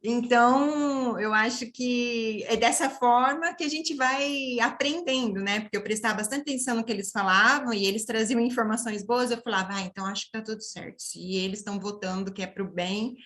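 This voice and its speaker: Female podcast guest, casual reflective talk, high voice, tag questions. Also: normal speed, few pauses, fairly bright tone. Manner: casual, ends phrases with "né?".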